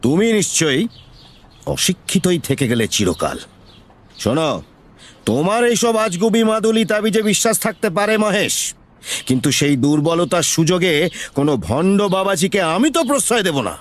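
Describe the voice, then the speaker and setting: A man's voice: sits high, very animated, moderate pace. A man playing a rude, suspicious, irate wealthy man, harsh and blustering, in an audio story with a noisy background. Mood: rude, contemptuous.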